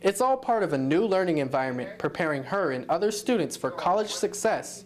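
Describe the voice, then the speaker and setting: A man's voice: high voice, animated, a little fast. A man delivering scripted television news reports as a field reporter. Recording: outdoor location sound with traffic noise.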